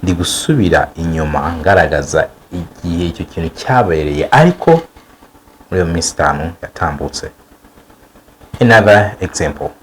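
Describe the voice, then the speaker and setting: Man, English teacher, low voice, animated pitch, slow repeated examples. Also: slight background noise. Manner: slow, drawn-out model sentences repeated for learners. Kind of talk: bilingual language lesson.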